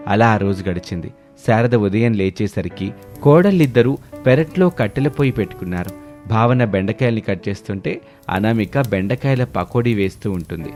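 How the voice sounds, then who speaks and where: A man's voice: mid-range voice, a little mellow. Casting: male narrator for scripted family tales, mid-range voice, light music underneath. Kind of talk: scripted moral story narration.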